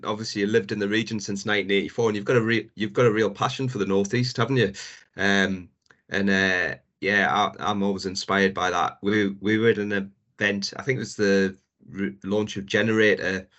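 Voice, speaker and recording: A man hosts a podcast interview, his voice a little low. He asks questions in a chatty, halting way, filled with ums. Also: steady tone, fairly bright tone, highs cut off. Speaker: podcast host. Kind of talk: casual interview chat.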